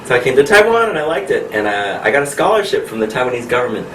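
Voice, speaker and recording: A man from the United States in his thirties, a pianist and coach answering interview questions in a friendly, unhurried way with frequent laughs. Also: mid-range voice, very animated, a bit bright. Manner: friendly, laughs often, speaks slowly and clearly. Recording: echoey room recorded from a distance with noise.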